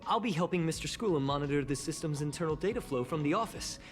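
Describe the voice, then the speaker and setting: A woman's voice: low voice, expressive, fast. A woman voicing an anxious, earnest, self-doubting young boy assistant in a video game, nervous and hesitant. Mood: nervous, resolute. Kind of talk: scripted video game dialogue. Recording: game music behind.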